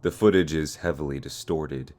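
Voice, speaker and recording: A man's voice: very deep voice, normal speed, a little mellow. A man hosting an explainer series in a semi-scripted narration style. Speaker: host of an analog horror explainer series.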